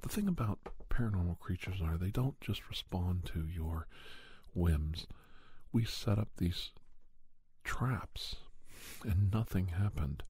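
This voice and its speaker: A man playing a paranormal investigator who recounts his cases in soft, breathy, halting first-person ASMR narration. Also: deep voice, lively intonation, dry recording, full character voice. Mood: calm, hushed, matter-of-fact. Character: male paranormal investigator doctor recounting monster cases, unhurried. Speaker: storyteller.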